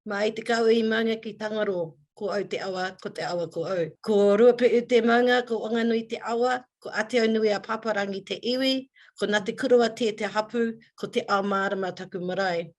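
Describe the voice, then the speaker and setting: A woman from New Zealand, a guest business owner, giving a formal Māori introduction and then explaining it in a measured way on a video call. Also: mid-range voice.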